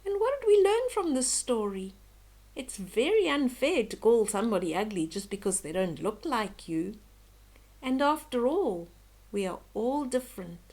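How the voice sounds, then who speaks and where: An older woman reading a children's bedtime story aloud in a gentle, expressive, dramatic way. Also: fairly high pitch. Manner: slow, gentle, dramatic, with hushed whispery moments.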